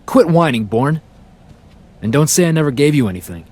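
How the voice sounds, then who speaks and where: An actor playing a cocky, wisecracking pro wrestler in a video game, sarcastic and self-assured. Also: male voice, fairly high pitch, animated, brisk, darkish tone. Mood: smug, sarcastic. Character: cocky, wisecracking male pro wrestler, smug crowd favourite. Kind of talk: video game cutscene acting.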